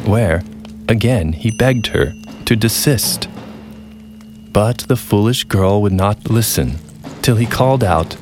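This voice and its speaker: A man narrating a scripted folktale as a storyteller, deliberate and dramatic with pauses. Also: sits low. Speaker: storyteller, podcast host. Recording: music behind the voice. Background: music.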